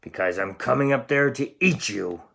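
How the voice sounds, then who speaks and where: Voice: medium pitch, animated, moderate pace, gravelly, fairly bright tone. Someone putting on a roaring, greedy old troll. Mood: roaring, threatening. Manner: roaring threats, muttering when scheming. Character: hideous, stinky old male bridge troll, greedy bully.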